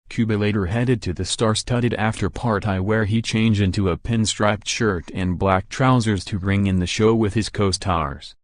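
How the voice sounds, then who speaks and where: A man narrating entertainment news in a steady, scripted read with no fillers. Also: on the low side, no echo, clean studio sound.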